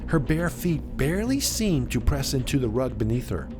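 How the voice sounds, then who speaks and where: A man narrating a suspenseful story in a dramatic, measured way, with deliberate pauses. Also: medium pitch, very animated. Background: music.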